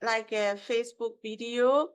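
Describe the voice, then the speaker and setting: A woman, her voice sitting a little high. Her English is broken and halting, full of "uh" and "right?" as she explains her work to an interviewer. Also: fairly even intonation, clean tone. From Myanmar. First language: Burmese. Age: 50s. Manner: broken English with hesitations, emphatic tag questions. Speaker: guest, mortgage broker. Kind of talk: casual interview answers.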